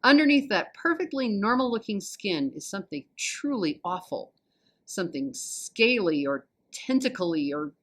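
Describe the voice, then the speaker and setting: A woman with an American accent reading a children's novel aloud as an expressive storyteller. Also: medium pitch, moderate pace. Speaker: volunteer storybook reader.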